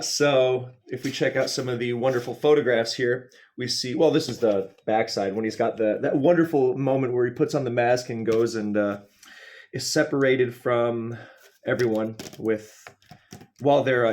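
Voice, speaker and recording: Guy rambling to camera, average pitch, mock serious and wry, kinda halting, goes off on tangents. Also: darkish tone. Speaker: video host. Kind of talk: casual, rambling comedic monologue to camera.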